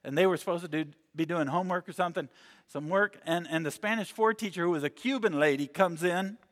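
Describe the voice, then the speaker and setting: A man raised in Mexico preaching an earnest sermon in a church sanctuary, with a voice pitched somewhat high for a man. He slows down and drops his voice low when he reads scripture or presses a point. A long reverb trails his words through the hall. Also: fairly bright tone.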